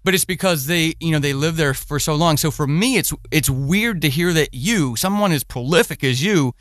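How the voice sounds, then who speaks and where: Higher-pitched man hosting a casual interview. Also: moderate pace, fairly bright tone, no room sound, clean studio sound. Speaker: podcast host and interviewer.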